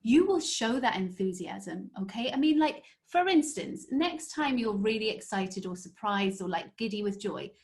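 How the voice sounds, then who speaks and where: Animated woman telling stories. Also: medium pitch, normal speed, few pauses. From northeast England. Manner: animated storyteller, many fillers. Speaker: guest, story strategist. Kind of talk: casual interview chat.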